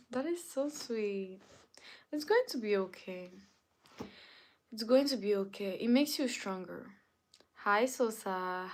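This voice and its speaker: A woman livestreaming in casual chat, whose first language is not English, talking straight to her viewers and repeating herself often. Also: medium pitch. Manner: talks straight to the chat, repeats phrases over and over. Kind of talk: casual live-stream chat.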